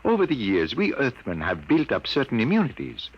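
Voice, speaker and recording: Grave old scientist, husky, highly animated intonation, dull 1950s radio recording. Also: male voice, brisk, exaggerated voice. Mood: grave, explaining. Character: grave older male scientist of Earth, aged sixty-two, troubled. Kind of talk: 1950s radio drama acting. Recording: muffled old radio broadcast.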